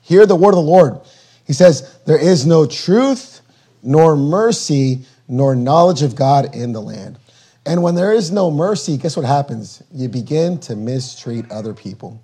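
A man preaching a sermon while losing his voice, reading scripture slowly and deliberately, then explaining it in plain words. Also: fairly high pitch, lively intonation, mellow. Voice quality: husky. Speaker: guest preacher.

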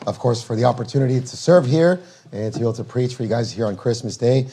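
A man preaching a sermon while losing his voice, reading scripture slowly and deliberately, then explaining it in plain words. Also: mid-range voice, mellow, a little hiss or hum. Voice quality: husky. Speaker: guest preacher.

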